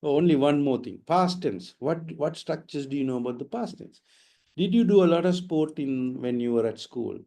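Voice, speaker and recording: A man's voice: on the high side, expressive, moderate pace, vocal fry, dark tone. A middle-aged man with a slight Sri Lankan accent, giving an explanatory IELTS webinar lecture and dropping in Sinhala phrases. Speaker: IELTS trainer.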